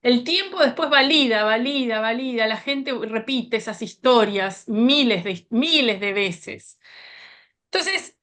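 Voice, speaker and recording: A woman's voice: on the high side, lively intonation, takes it slowly, a bit bright. A woman researcher reflecting earnestly on her work over a video call.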